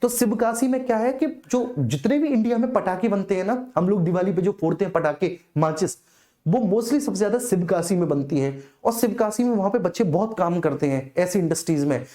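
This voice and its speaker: A woman's voice: medium pitch, lively intonation, a little fast, warm tone. A woman giving an informal exam-prep lecture as a coaching teacher, repeating key phrases for emphasis and often checking in with students.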